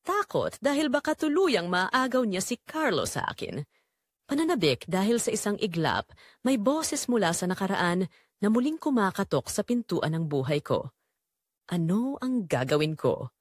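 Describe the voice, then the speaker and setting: A woman acts out a remorseful Filipina mother in a radio-style audio drama. Her mid-pitched voice rises and falls dramatically, anguished and confessional, in a close, dry recording. The accent is Filipino and the delivery is solemn storytelling. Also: very animated, normal speed, no echo. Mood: torn, fearful. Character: guilt-ridden Filipina mother and wife confessing her betrayal. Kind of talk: dramatic first-person audio drama narration.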